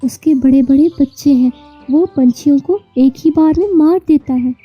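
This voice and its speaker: A woman's voice: high voice, normal speed, lo-fi sound, faint music behind, close to an everyday voice. A woman voicing a devout, protective young big-sister sparrow in a cartoon, speaking earnestly. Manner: earnest, reassuring.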